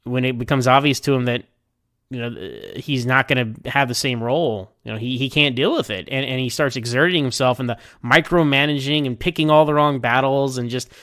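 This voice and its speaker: Guy doing a casual fan review, excited and rambly, trips over words a lot, clean smooth voice, dry sound. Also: mid-range voice, a little fast, no echo. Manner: enthusiastic, rambling, stammering with repeated words. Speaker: listener sending in episode feedback. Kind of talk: casual spoken review of a TV episode.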